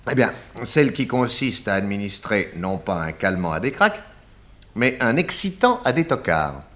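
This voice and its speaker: An actor playing a genial, self-assured private detective of 1910 in a French radio drama, urbane and expansive. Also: male voice, on the low side, sing-song, moderate pace, fairly bright tone. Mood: amused, assured.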